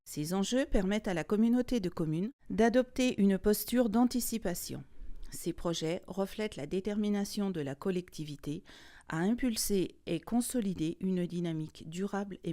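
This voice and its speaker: Mellow-voiced woman reading formally. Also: mid-range voice, expressive, moderate pace. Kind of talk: formal scripted narration, read aloud.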